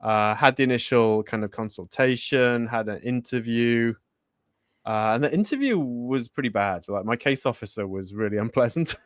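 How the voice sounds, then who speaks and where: A man co-hosting a relaxed talk show, chatting loosely and breaking into laughter. He often trips over his words and restarts them. His mid-range voice sounds dry and close to the mic. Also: mid-range voice, normal speed, no echo. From born in Germany, raised in Spain. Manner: laughs often, self-correcting. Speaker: co-host. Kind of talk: casual chat.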